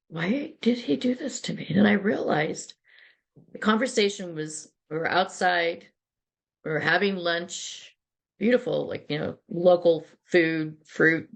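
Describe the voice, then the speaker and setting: Low, gravelly-voiced woman telling stories. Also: measured pace. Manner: chatty, many restarts and asides. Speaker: interview guest, PR agency founder. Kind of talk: casual interview storytelling.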